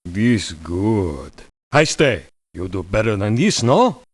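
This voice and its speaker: A stern, blunt mercenary from abroad for a video game. He talks slowly in terse, broken English. The pitch is lively despite the short phrases. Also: full character voice. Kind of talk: video game character voice lines.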